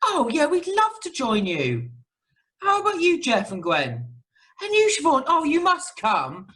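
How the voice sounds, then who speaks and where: A woman's voice: high-pitched, wildly swooping pitch, a little slow, fairly bright tone, exaggerated voice. A woman playing a polite, eager and friendly cruise passenger in an amateur radio drama.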